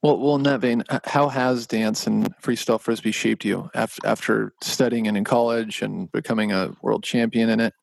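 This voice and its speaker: A man's voice: on the low side, fairly even intonation, normal speed, vocal fry, hardly any pauses, no room sound, low-quality recording. A man in his late twenties hosting a podcast, asking questions and adding brief affirming interjections. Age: 20s. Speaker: podcast host. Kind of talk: casual conversational interview.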